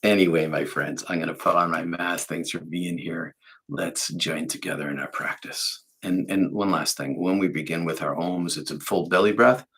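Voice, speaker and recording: A man with a very deep, husky voice and a faint Boston accent leads a meditation session. He talks in a calm, unhurried, soft-spoken way. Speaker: meditation teacher. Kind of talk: guided meditation talk.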